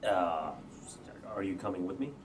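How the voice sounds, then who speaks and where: A man's voice: low voice, lively intonation, moderate pace. A man playing a grumpy, sarcastic janitor in a staged screenplay reading, with a dry delivery. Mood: dry sarcasm. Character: sarcastic, grumpy male janitor, world-weary. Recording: distant stage mic, clear background noise.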